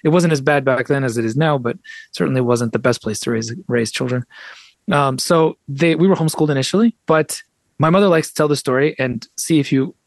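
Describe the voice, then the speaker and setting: A man's voice: medium pitch, a little fast, no room sound. Wry storytelling man, dry close sound. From United States. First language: Russian. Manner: wry, animated storyteller with frequent restarts. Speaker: guest author and translator. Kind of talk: conversational interview storytelling.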